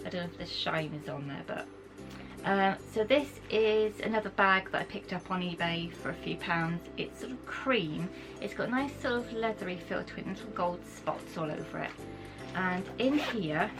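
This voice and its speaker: A young British woman, croaky from a cold, chatting enthusiastically as she shows off her things to camera. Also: on the low side. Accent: British English. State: croaky from a cold, sore throat. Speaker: vlogger. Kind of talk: casual chat to camera. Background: background music.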